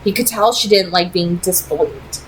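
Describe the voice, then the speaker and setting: A woman's voice: on the low side, moderate pace, slight background noise, low-quality recording. A woman narrating fiction as an amateur book reader. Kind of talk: read-aloud fiction narration.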